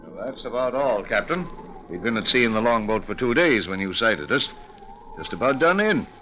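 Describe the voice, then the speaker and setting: An actor playing a weary, terse rescued ship's captain in a 1940s radio drama. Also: male voice, lowish voice, sing-song, normal speed. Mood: exhausted. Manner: terse, understated seaman. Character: weary, laconic rescued packet-ship captain. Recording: muffled, faintly noisy old radio broadcast.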